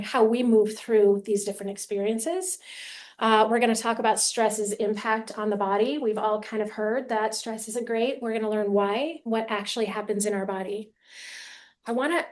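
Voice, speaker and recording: A woman with a soft, quiet voice giving a talk to a live audience in a meeting room, friendly and a little apologetic, holding a handheld mic. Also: mid-range voice. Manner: apologetic and friendly, laughs often. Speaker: educational speaker. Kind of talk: live lecture to a seated audience. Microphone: handheld mic after a lapel clip would not stay.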